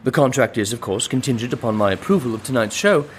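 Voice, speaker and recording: An actor playing a prim, butler-like gentleman with courtly, flowery and pompous manners in an audio drama. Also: medium pitch, lively intonation, quick pace, a little hiss or hum, close to an everyday voice. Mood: courteous, smug. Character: prim, butler-like gentleman posing as talent scout.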